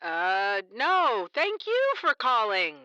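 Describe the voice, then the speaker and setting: A very bright, crystal-clear woman radio host, played by an actress, upbeat with a wry edge. She interviews guests on air in an audio drama. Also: fairly high pitch, huge pitch swings, slow pace, dry recording, muffled recording, exaggerated voice. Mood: composed, slightly flustered.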